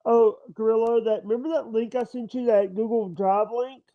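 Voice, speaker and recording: A high-voiced man from Mississippi with a stutter, speaking haltingly and restarting often. The recording sounds dull and lo-fi, with a dry, close sound. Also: fairly even intonation, dry recording. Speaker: streamer host. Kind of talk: casual chat.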